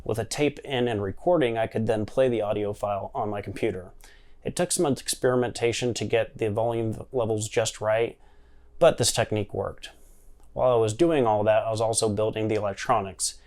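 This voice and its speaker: A man's voice: lowish voice, fairly quick, darkish tone. A man presenting a hobby electronics project in an explanatory tutorial style. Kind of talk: tutorial narration and screen demonstration.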